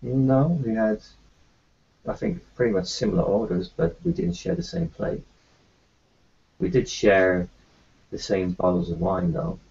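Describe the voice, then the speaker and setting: A man with a very husky, gravelly voice plays his character in a tabletop roleplay. He speaks haltingly and keeps restarting his sentences. His low, dark tone comes through a dull, lo-fi recording. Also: sing-song. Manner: halting, full of fillers and restarts. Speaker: player voicing his doctor character.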